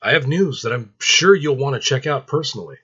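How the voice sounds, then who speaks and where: A man's voice: mid-range voice, lively intonation, a little fast, fairly bright tone, husky, muffled recording, voice pushed up. A man voicing an anxious, deferential female reaper who serves Death. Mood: deferential urgency.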